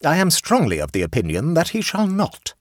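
A man's voice: a little high, huge pitch swings, fairly quick, no echo, studio quality, pitched above the performer's own voice. A man doing a stern imperious old aunt. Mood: cold. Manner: cold, firm, magisterial. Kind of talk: audiobook character voice.

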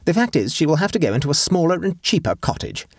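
A stern, pompous middle-aged aunt, as a male narrator puts her on, raised well above his natural register. She lectures with a husky voice and rising, lively inflection. The sound is dry and close, like a storybook reading. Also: on the high side, fast, no room sound, pitched above the performer's own voice, full character voice. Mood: severe.

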